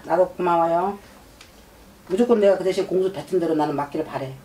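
A middle-aged woman speaks as a shaman fortune teller across a small indoor shrine office, in a lowish voice that is picked up from across the room with a faint hum of room noise behind it. She gives blunt advice and scolds in a motherly way, and she keeps checking that her client has understood. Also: fairly quick, warm tone, poor audio. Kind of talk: fortune-telling consultation.

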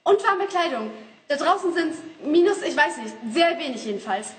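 A woman's voice: sits high, animated, moderate pace, roomy sound, distant mic. A young woman playing a terse, demanding young woman in school stage drama, with blunt, clipped delivery. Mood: insistent. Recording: faint background noise, stage ambience.

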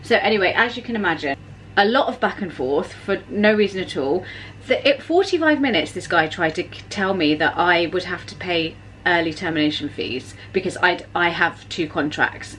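A woman's voice: lowish voice, animated, normal speed, hardly any pauses, fairly bright tone, a little hiss or hum, low-quality recording. Chatty woman vlogging at home. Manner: chatty, exasperated storytelling with swearing. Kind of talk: casual chat to camera. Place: home kitchen, indoors. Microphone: camera at arm's length.

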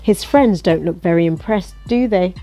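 A woman reading a children's picture book aloud in an expressive, coaxing way, as if to young listeners. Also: on the low side, moderate pace, faint music behind. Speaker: storybook reader.

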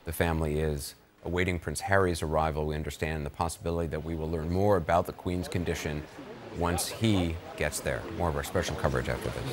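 Deep-voiced man anchoring breaking news. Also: fairly even intonation, a little fast, a little hiss or hum.

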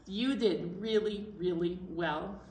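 A woman's voice: mid-range voice, slow pace, a little mellow. A middle-aged woman leading an activity for young children, often checking in with them by asking questions. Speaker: children's storytime host. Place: indoor library storytime room. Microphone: camera several feet away. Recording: echoey room, distant microphone.

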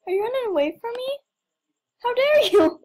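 High-pitched young woman, playfully dramatic. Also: animated, normal speed, darkish tone. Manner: playful mock drama, exclamations. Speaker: gamer recording gameplay. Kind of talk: casual playful game commentary.

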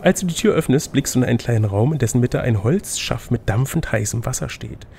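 A man's voice: medium pitch, animated, fairly quick, vocal fry, darkish tone, slight background noise. A man reading out an adventure gamebook as game master, slipping between narration and playful, teasing casual banter.

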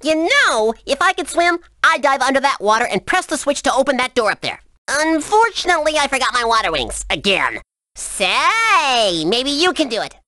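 A woman's voice: sits high, moderate pace, dry recording, full character voice. A woman playing an eager, chatty, slightly boastful helper creature in a video game. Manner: lively, chatty. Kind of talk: scripted video game character dialogue.

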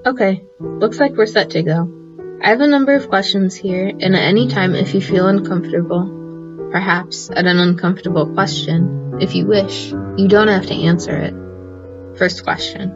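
A woman's voice: medium pitch, steady tone, moderate pace, close to an everyday voice. A young woman acting in a radio play as an earnest, polite interviewer who speaks with care. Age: young adult. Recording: dull audio with music behind.

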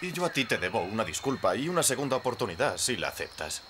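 An actor playing a stern, authoritative reserve guide in a dubbed cartoon, firm and formal. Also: male voice, medium pitch, very animated, fast, background noise, lightly acted.

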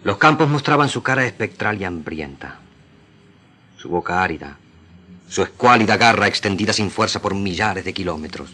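A man's voice: sits low, expressive, moderate pace, sharp tone, breathy edge. An Argentine man, a radio actor, giving a solemn, declamatory reading of literary prose.

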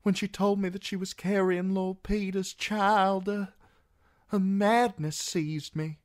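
A man voicing a broken, blinded young singer in an audiobook, pleading mournfully in a cracked, halting whisper of a voice. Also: sits high, steady tone, voice pushed up.

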